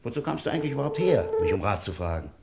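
An actor playing an insistent, impatient friend with a gravelly, husky voice in a German radio crime drama. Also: male voice, fairly low pitch, very animated, very fast, heavy background noise. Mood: exasperated. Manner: urgent, admonishing, commanding. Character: insistent, impatient male friend urging caution.

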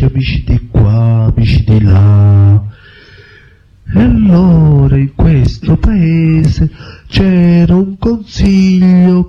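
An ironic storyteller, acted by a man, narrates like a mock-innocent fairy tale. He speaks slowly with sing-song repetition. The sound is dull and music plays clearly behind him. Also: a little high, exaggerated voice. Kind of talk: theatrical storytelling in radio drama.

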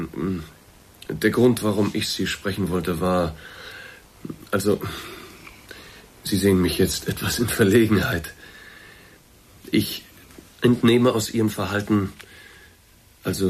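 An actor playing a courteous, awkward middle-aged boss in a radio play, speaking in a formal, hesitant, roundabout way with a slightly husky voice. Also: male voice, low-pitched, unhurried, pauses often. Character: courteous, awkward male boss, discreetly breaking bad news. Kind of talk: German radio drama acting, naturalistic dialogue.

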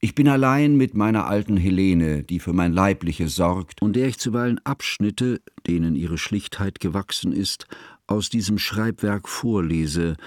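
A grave, learned older man narrates a literary radio play in a deep-set, low register. His delivery is solemn, formal and measured, with quiet sorrow beneath it. The sound is dry, with no room around the voice. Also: no room sound. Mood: sorrowful, solemn.

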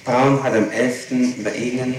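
A man's voice: medium pitch, steady tone, measured pace. A man questioning formally as a prosecutor in an interrogation, methodical and probing. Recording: very echoey, noisy 1960s tape recording.

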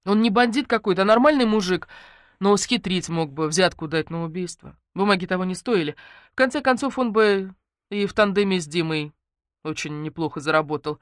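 A woman voicing an excitable, anxious and demanding young woman in an audiobook, with blunt, exclamatory delivery. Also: lowish voice, brisk, no echo, studio quality, pitched above the performer's own voice, natural voice. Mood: animated. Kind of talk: audiobook character dialogue.

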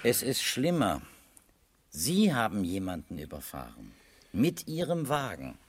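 An actor playing a sober, courteous police captain with a formal, terse manner in a 1970s German radio crime drama. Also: male voice, lowish voice, huge pitch swings, a little slow, slightly rough, no echo, heavily acted. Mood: grave.

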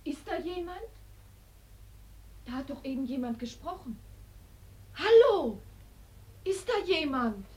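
A woman's voice: high voice, sing-song, slow pace, long pauses, darkish tone, exaggerated voice. An actress in a radio play as a kind young woman, coaxing, playful and insistent. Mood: startled, concerned. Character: kind, teasing young woman, lively and forthright. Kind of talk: German radio play acting.

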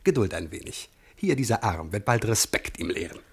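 An actor playing a haughty, bullying god itching for a fight, declaiming threats in a rough-edged voice in a German classical radio drama. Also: male voice, on the low side, expressive, brisk. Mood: scheming.

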